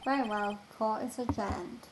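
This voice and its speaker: A woman's voice: a little high, fairly even intonation, normal speed, background noise, lightly acted. A woman with an English accent reading the lines of a stern older male judge from a courtroom game aloud, in a formal manner. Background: game music.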